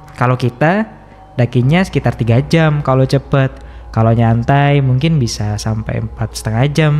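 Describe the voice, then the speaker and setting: A man playing an earnest, polite college student who explains and smooths things over, in an animated story. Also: medium pitch, lightly acted. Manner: conciliatory, explanatory. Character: earnest, polite male college student, group's careful planner. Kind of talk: animated horror story dialogue.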